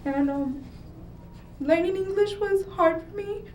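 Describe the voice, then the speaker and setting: Nervous young woman, halting speech. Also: very high voice, a little mellow. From Mexico. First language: Spanish. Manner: halting, many ums and pauses. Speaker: award-winning student. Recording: echoey hall from far off, faint noise.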